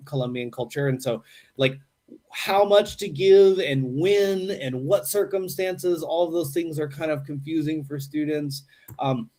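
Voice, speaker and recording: A middle-aged American man speaking casually in an interview. His voice sits at an ordinary pitch, and he talks in a loose, conversational way. He keeps tagging his points with a quick "right?". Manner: relaxed, chatty, frequent "right?" tags. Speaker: English teacher at a university program.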